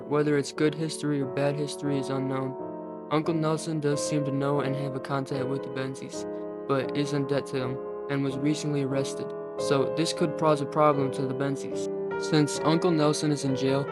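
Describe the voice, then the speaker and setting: A nineteen-year-old man narrating a scripted video about video game lore. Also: medium pitch. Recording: music behind the voice. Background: plucked guitar music.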